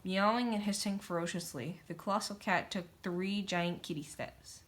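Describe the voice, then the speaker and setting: A woman's voice: mid-range voice, moderate pace, a bit bright. A woman reading a children's picture book aloud, narrating in a theatrical, emphatic way. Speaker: storybook reader. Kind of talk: dramatic children's story narration.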